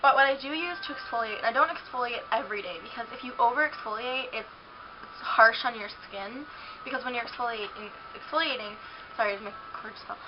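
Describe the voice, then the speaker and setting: Chatty young woman on a lo-fi webcam. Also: fairly high pitch. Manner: chatty, rambling with many fillers. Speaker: beauty vlogger. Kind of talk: casual talk to camera. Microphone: built-in camera mic. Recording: dull lo-fi webcam audio with music.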